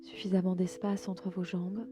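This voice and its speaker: A woman guiding a relaxation session as a yoga nidra host, calm and soothing, giving gentle instructions. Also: lowish voice, normal speed. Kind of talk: guided relaxation narration. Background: relaxation music.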